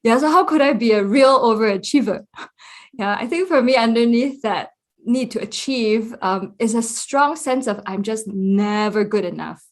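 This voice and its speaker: A woman's voice: a little high, moderate pace. A Buddhist nun from Singapore, probably in her thirties, talking reflectively and laughing at herself on a podcast. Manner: reflective, self-deprecating, laughs often. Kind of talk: casual podcast conversation.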